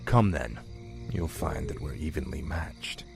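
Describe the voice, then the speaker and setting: An actor playing a cryptic human agent who serves a dark master, speaking in a smooth, insinuating way. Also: male voice, a bass voice, a little slow, over music, full character voice. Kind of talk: video game cinematic acting.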